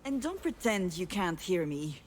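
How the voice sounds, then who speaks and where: A woman's voice: fairly low pitch, big pitch swings, measured pace, a little hiss or hum. A woman playing a blunt, tough, hot-tempered sister in a visual novel, speaking in a direct, sardonic, confrontational way. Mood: upset, confrontational. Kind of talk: visual novel voice acting, dramatic dialogue.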